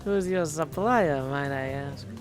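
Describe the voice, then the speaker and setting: A man's voice: a little high, measured pace, lightly acted. A man voicing a laid-back, merry god of wine from a video game, with a familiar, easygoing drawl. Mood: mellow, grateful. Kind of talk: video game character dialogue. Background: music.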